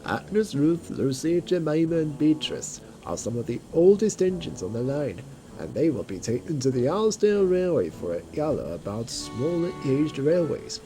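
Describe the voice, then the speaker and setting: A woman voicing a stern, matter-of-fact male railway engine in an audio drama, explaining in a measured, firm way. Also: deep voice, big pitch swings, dark tone, soft background music. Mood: firm instructing.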